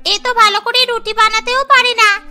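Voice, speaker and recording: A woman's voice: very high-pitched, fairly even intonation, normal speed, bright, forceful tone, full character voice. A woman voicing a mocking, gossipy relative who ridicules a bride in a cartoon. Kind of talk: cartoon story dialogue. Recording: music behind the voice.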